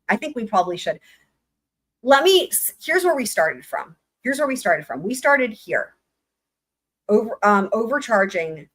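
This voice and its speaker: Excited hoarse woman livestreaming. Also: medium pitch. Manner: excited, rambling, swears casually. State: voice going hoarse. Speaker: livestream host. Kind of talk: casual livestream chat.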